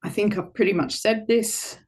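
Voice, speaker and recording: A woman co-hosting an online webinar over a video call, her voice a little croaky from a head cold, speaking informally with frequent ums. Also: mid-range voice, normal speed. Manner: warm, frequent ums, relaxed informal phrasing. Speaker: webinar co-host.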